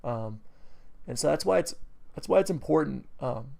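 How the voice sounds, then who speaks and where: A man's voice: a little high, lively intonation, normal speed, creaky, a little mellow. A man with a New England accent talking through a trade review over his charts, rambling with many fillers and self-corrections, breaking into enthusiastic bursts. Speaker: trading educator. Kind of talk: screen-recorded trade review.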